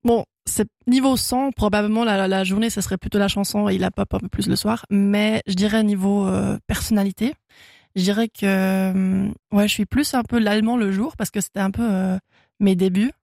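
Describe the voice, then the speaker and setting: A woman's voice: mid-range voice, steady tone, normal speed, gravelly, darkish tone, no echo, studio quality. A young Swiss woman whose first language is German, a singer chatting casually in a radio interview, laughing often and full of fillers and restarts. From Switzerland. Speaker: guest singer-songwriter. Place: radio studio.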